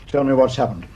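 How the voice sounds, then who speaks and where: A man's voice: medium pitch, dark tone, slight background noise, heavily acted. A man acting a courteous, inquisitive police superintendent in a radio drama. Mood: probing.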